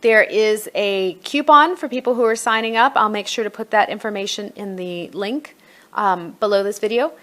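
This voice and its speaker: Middle aged woman doing a chatty review walkthrough, kinda thinking out loud while she clicks around. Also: medium pitch, moderate pace. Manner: conversational, thinking aloud while navigating. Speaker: homeschool curriculum reviewer. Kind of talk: casual screen walkthrough review.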